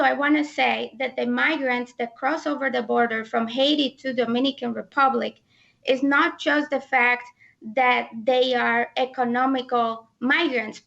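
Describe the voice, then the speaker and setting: A woman's voice: sits high, vocal fry, a bit bright. A woman who is a native Spanish speaker and interpreter, giving her views earnestly in a panel discussion, partly reading from notes. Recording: dull lo-fi sound.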